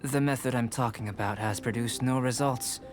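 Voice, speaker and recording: A man voicing a composed young alchemist in a video game, speaking in a measured, precise and detached way. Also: mid-range voice, close to an everyday voice. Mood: thoughtful, composed. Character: calm, analytical young male alchemist researcher.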